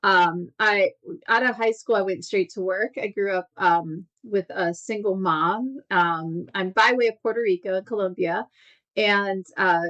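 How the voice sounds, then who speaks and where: A woman's voice: mid-range voice, fairly even intonation, fairly bright tone, highs cut off. Chatty woman with a Chicago accent. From Wisconsin. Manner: loose, chatty, quick to laugh along. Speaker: guest. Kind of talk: casual interview chat.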